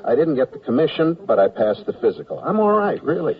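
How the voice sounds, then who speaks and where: A man's voice: mid-range voice, very animated, brisk, natural voice. An actor playing a reasonable, exasperated male war correspondent in a radio comedy drama, wry and conversational. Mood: cooperative, defensive. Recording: dull radio recording, slightly distant.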